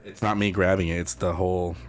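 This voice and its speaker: A man's voice: low-pitched, little pitch movement, a little hiss or hum. A man co-hosting a podcast, blunt and profane in casual chat. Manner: blunt and profane, jumps in with quick reactions. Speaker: podcast co-host.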